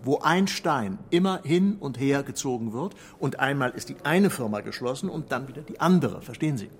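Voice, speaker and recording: An actor playing an earnest man in a radio drama, explaining things in a measured way. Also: mid-range voice, animated, a little hiss or hum, natural voice.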